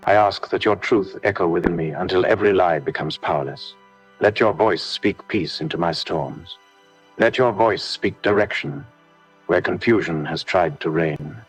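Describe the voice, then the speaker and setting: A man's voice: sits low, slightly rough. A man leading a scripted warfare prayer in solemn, emphatic, repeated declarations. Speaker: prayer leader. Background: faint background music.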